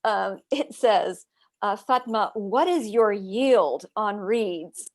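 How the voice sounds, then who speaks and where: A woman's voice: medium pitch, slow pace. A woman moderating audience questions in a friendly, orderly way.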